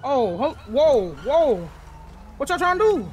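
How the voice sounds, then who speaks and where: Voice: high-pitched, very animated, unhurried, darkish tone. A person in urgent, slangy, profane chatter during an online crime roleplay game. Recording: noisy game clip with car sounds.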